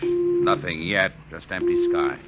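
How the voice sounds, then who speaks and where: A man's voice: deep voice, steady tone, slow. An actor playing a plain-spoken army major assisting his general in a 1950s radio drama. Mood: measured. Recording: dull 1950s radio recording, loud music.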